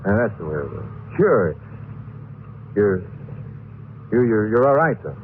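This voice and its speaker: An actor playing a guilt-haunted, nervous murderer in an old-time radio horror drama, speaking in halting, stammering phrases. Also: male voice, on the low side, big pitch swings. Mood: afraid, chatty. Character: guilt-haunted, jittery murderer narrating his crime. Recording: noisy, muffled old radio broadcast.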